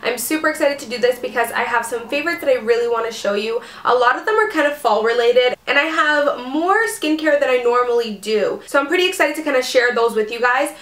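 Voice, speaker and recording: A young woman chats through her favorites with lots of fillers, sounding a little under the weather from a cold. Her voice sits a touch high, and the room gives it a noticeable echo. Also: a little fast, few pauses, a bit bright. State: sick, ears plugged. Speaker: beauty vlogger. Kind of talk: casual chat to camera.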